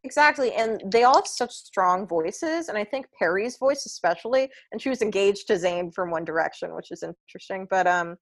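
Woman, young adult, low voice, podcast co-host, rambling casual chat. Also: lively intonation, normal speed, hardly any pauses. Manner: rambling, many fillers and restarts, swears casually.

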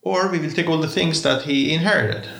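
Gravelly man telling stories. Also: on the high side, a little fast, fairly bright tone. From Iran. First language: Persian. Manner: rambling, wry, jokes about his past. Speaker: guest. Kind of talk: storytelling in conversation. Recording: echoey room.

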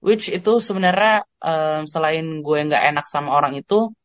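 An Indonesian man talks casually as a podcast guest. His voice sits a little high for a man and sounds dry and close, with no room around it. He speaks in a loose, slangy way, pausing on fillers. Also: no room sound. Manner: relaxed, slangy, with hesitant fillers. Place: living abroad, on a call.

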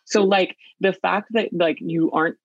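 A woman's voice: lowish voice, steady tone, unhurried, no echo, lo-fi sound. A woman on a product team talking casually and earnestly, with many fillers and restarts. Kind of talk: casual conversational interview.

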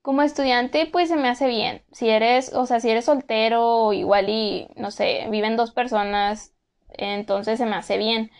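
Young Monterrey Mexican woman, fairly high, smooth clear voice, chatty and relaxed, filler-heavy. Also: a little slow. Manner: conversational, frequent "pues" and "o sea" fillers. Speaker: former exchange student. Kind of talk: casual first-person account.

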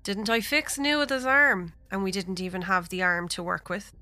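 A woman doing a brisk unflappable healer god. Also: mid-range voice, fairly even intonation, pushed and bright, no room sound. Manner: matter-of-fact, dismissive.

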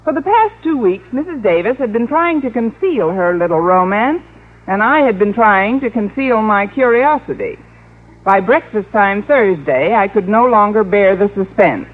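An actress playing a wry, sensible single schoolteacher in a radio sitcom, narrating and talking with dry wisecracks. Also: female voice, medium pitch, expressive, normal speed, natural voice. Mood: wry narration. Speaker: star and narrator of the comedy. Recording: muffled old radio recording, studio audience.

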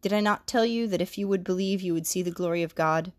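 A woman reading the lines of a calm, solemn male teacher in a measured, plain manner. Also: lowish voice, no echo, studio quality, lightly acted.

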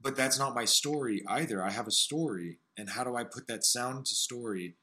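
Earnest raspy man with a bright edge. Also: medium pitch, moderate pace. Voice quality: raspy, smoky voice. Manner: earnest, searching, piles up phrases. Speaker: podcast host. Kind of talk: reflective interview talk.

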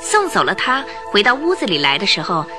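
A woman narrating a radio drama series with vivid, expressive storytelling. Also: mid-range voice, fairly quick. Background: background music.

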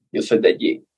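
A man from Cuba with a Cuban accent, a podcast guest talking earnestly and repeating himself for emphasis. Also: dark tone. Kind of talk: casual interview.

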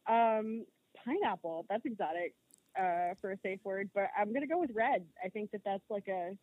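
An American woman in her thirties chats as an interview guest. Her pitch is average and the sound is dry and close. She speaks informally and candidly, pausing often as she works out what to say. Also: no room sound, narrow, tinny audio. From Rhode Island, United States. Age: 30s. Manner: informal, candid, with hesitant pauses and hedging. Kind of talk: casual personal conversation.

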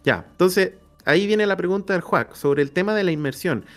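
A man's voice: high voice, fairly quick, fairly bright tone, soft background music. A Chilean man chatting casually as a podcast host and interviewer. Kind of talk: casual chat.

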